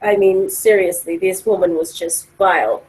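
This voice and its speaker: A woman's voice: lowish voice, steady tone, normal speed, a little mellow, rough recording. A woman speaking emphatically and argumentatively as she gives her views in a casual discussion. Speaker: discussion participant.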